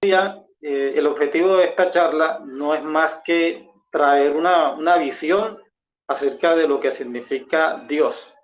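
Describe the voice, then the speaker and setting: A man with a Colombian accent giving a measured, explanatory and conciliatory presentation in a debate. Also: fairly high pitch. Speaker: debate participant, former pastor. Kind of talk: expository debate talk. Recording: dull lo-fi audio.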